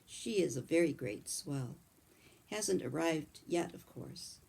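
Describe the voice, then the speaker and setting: A woman voicing a kindly old male country doctor, chatting calmly in a read-aloud storybook. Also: sits low, takes it slowly, vocal fry, husky, heavily acted. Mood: easygoing.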